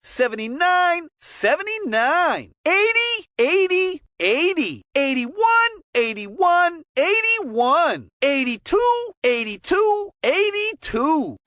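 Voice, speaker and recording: A very high, lively cartoon sports commentator for a kids' hockey video game. The calls are short and emphatic, with intonation that swings up and down, delivered at an unhurried pace in a dry, close recording. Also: female voice, no room sound, full character voice. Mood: announcing.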